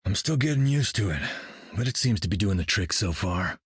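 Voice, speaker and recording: An easygoing war-veteran hero for a video game. His voice is lowish and husky, with a wry, casual delivery in a dry recording. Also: expressive, a little fast, dry recording. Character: good-natured, soldierly male war-veteran hero.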